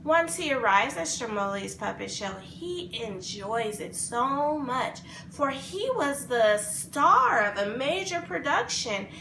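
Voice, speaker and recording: A woman telling a children's fairy tale in an expressive, dramatic, improvised way. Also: high-pitched, slow, vocal fry, few pauses. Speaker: storyteller. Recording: roomy echo, faint background noise.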